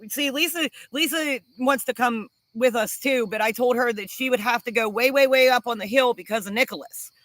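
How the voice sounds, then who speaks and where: Blunt woman cohost on a live chat, bright punchy voice, animated storytelling, swears a bit. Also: on the high side, normal speed, hardly any pauses, no room sound. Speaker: homestead channel co-host. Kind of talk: casual live-stream chat.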